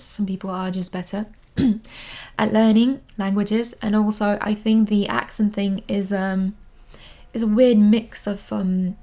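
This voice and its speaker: A woman around thirty, not a native English speaker, giving earnest advice to camera, hesitant with many pauses and restarts. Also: medium pitch, darkish tone. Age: 30s. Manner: earnest, repeats words for emphasis. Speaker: vlogger. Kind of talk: casual advice talk to camera. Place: living in London.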